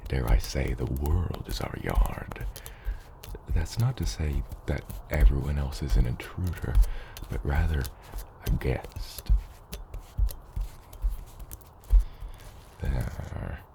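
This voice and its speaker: A man voicing a gentle, fatherly mountain dragon in a soft ASMR roleplay, patient and measured, with formal wording and hesitant asides. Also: sing-song, exaggerated voice. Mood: calm, guiding. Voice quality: gravelly, very deep. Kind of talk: ASMR roleplay, soft slow scripted monologue. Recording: roomy long cave-like reverb, faint noise.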